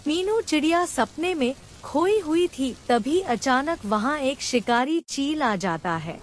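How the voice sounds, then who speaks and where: High-pitched, slow woman storyteller. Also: lively intonation, slight background noise. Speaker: story narrator. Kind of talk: scripted cartoon storytelling narration.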